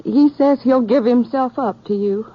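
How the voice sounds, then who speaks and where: An American woman playing the anxious wife of a fugitive in a 1950s radio western, pleading haltingly. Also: fairly high pitch, close to an everyday voice. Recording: muffled old radio recording.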